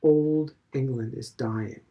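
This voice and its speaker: A man voicing a stern, preachy elderly headmaster who lectures with slow, heavy emphasis. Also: medium pitch, dull audio. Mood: grave. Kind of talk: audiobook character reading.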